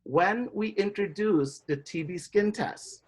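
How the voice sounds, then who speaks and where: This is an instructor from Indonesia teaching a live class. The delivery is explanatory and steady, pausing often to check in with the students before moving on. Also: mid-range voice. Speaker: biology instructor. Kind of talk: classroom lecture.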